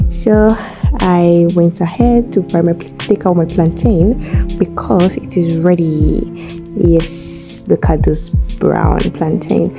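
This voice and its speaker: A woman's voice: fairly low pitch, creaky, low-quality recording. A young woman from Nigeria giving a chatty voice-over of her own cooking as a food vlogger, repeating her words and now and then giggling. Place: home kitchen for the intro.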